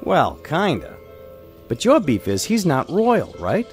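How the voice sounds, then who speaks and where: Guy doing a streetwise american henchman, kinda high, super animated, blunt wiseguy talk, music playing behind. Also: slow, heavily acted. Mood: needling. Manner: colloquial, wiseguy phrasing. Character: blunt, streetwise henchman with a noble title, questioning his boss. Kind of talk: animated fantasy drama dialogue.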